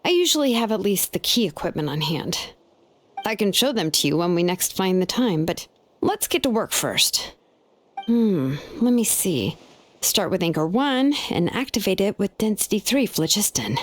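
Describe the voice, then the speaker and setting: A woman's voice: mid-range voice, big pitch swings. Young craftswoman, easygoing, self-assured, matter-of-fact, widely varied intonation, video game character. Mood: relaxed. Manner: casual, unhurried hedging, matter-of-fact. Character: laid-back, confident young female craftswoman, matter-of-fact expert.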